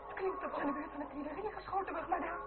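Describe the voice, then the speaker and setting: A high-voiced fretful aristocratic woman. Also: very fast. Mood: fretful, nervous. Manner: fretful, exclamatory. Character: anxious aristocratic madame and her frightened young female companion. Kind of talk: period radio drama acting. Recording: old narrow-band radio play, noisy crowd behind.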